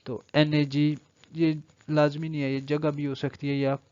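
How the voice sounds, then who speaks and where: A man's voice: on the high side, level tone, a little slow, a little mellow, clean tone, no echo, dull audio. A man with a Pakistani accent giving an explanatory law lecture, mixing in English legal terms and reading passages aloud before paraphrasing them.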